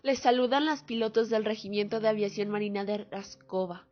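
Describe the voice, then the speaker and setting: A woman's voice: mid-range voice, fairly even intonation, a little fast, close to an everyday voice. An actress playing a solemn female war veteran giving testimony in a radio drama. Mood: formal greeting. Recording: muffled lo-fi audio under music.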